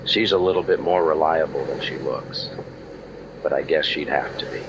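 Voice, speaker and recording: An actor playing a world-weary, wisecracking private eye in a deadpan old-time radio detective style. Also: male voice, extremely low pitch, normal speed, background noise. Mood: dry, sardonic. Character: cynical, world-weary hardboiled male waterfront private eye.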